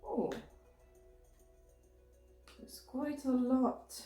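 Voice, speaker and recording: A woman with a sore throat, a little hoarse, giving a casual tarot reading in a chatty, hesitant way with many restarts. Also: high voice, extremely slow, warm tone, reverberant, faint music behind. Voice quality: slightly hoarse. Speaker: tarot reader.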